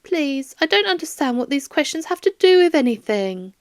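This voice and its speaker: Lady doing a doting old granny in an audiobook, flustered n rambly, high lilting voice, bit creaky. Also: sing-song, normal speed, dry recording, studio quality. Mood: rambling, plaintive. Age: 60s. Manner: rambling, plaintive, baffled. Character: flustered older woman, doting grandmother and museum employee.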